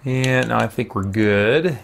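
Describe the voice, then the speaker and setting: A man in his thirties giving casual, unhurried game commentary, musing aloud and often hesitating. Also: mid-range voice, vocal fry, fairly bright tone, a little hiss or hum. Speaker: streamer playing a role-playing game. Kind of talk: casual let's play commentary. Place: small indoor room with shelves, at a desk.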